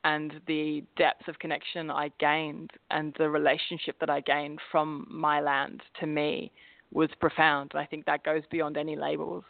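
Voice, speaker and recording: A woman's voice: low-pitched, completely flat delivery, normal speed, gravelly, dry recording. A woman from England with an English accent touched by an Australian twang, chatting as a guest with frequent laughs and restarts. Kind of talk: casual interview chat. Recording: phone-like line, dry.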